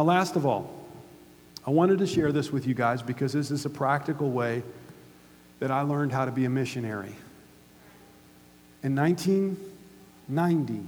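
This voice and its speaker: A man's voice: medium pitch, darkish tone. Emphatic preacher man in a reverberant hall. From United States. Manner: emphatic, asks the audience questions. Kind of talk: sermon. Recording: hall reverb with faint hum.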